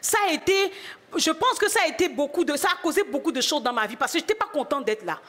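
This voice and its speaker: Brief: high-pitched woman from Benin, middle-aged preacher, testimony-style sermon, swings from quiet to shouting. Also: animated, fast. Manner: swings from hushed to loud exclamations.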